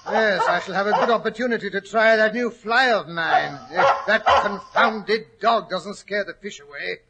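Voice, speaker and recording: An actor playing Doctor Watson, a hearty, puzzled, exclamatory English gentleman, in 1940s radio drama style. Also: high-pitched, moderate pace, heavy background noise. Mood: grumbling. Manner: blustery, exclamatory. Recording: old 1940s radio recording.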